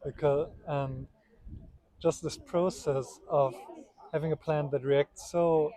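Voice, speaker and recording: A man's voice: on the high side, steady tone, takes it slowly, dark tone, rough recording. A man talking casually and earnestly, in a reflective storytelling way.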